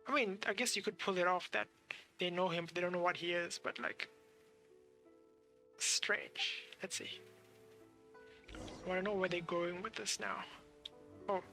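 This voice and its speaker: Female game streamer: casual running commentary, bright tone with vocal fry, faint music behind. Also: medium pitch. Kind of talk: casual live gameplay commentary.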